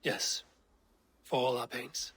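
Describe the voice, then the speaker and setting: An actor playing a steady Viking clan leader in a game cutscene, speaking in a measured, grave way. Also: male voice, mid-range voice, heavy background noise, close to an everyday voice.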